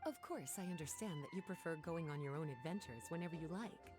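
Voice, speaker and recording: An actress playing a poised, gently indulgent and teasing woman in a video game, with a languid, honeyed delivery. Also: low-pitched, animated, fast talker, over music. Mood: smooth, indulgent. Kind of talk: video game character acting.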